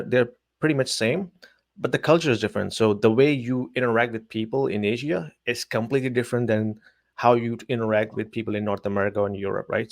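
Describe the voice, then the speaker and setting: A man's voice: fairly low pitch, no room sound. A man in his forties from Pakistan, a telecom executive, giving thoughtful interview answers with many hesitations.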